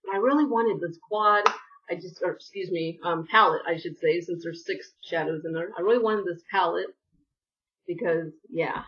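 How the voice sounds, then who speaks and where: A woman's voice: on the low side, moderate pace, recorded from a distance, dull audio. A woman chatting through a casual makeup haul vlog, rambling with apologetic asides and sniffly from allergies. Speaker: beauty vlogger.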